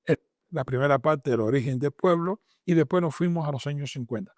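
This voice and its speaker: A man's voice: on the high side, a little fast, a little mellow, no echo, studio quality. An older man with a Cuban accent answering interview questions emphatically, repeating phrases as he builds lists. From Matanzas province, Cuba. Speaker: interviewed doctor and author.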